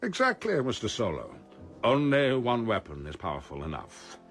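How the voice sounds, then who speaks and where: An actor in a radio drama playing a pompous, coldly ruthless criminal mastermind who talks formally and deliberately with polite menace. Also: male voice, mid-range voice, huge pitch swings, a bit bright, faint music behind. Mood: smug, formal.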